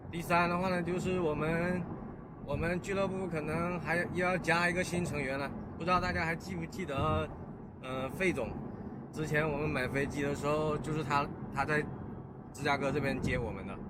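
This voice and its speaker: Man vlogging casually over loud vehicle noise. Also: on the high side, steady tone, brisk. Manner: switches into English words mid-sentence. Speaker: host of a pilot vlog. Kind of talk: casual vlog update to viewers.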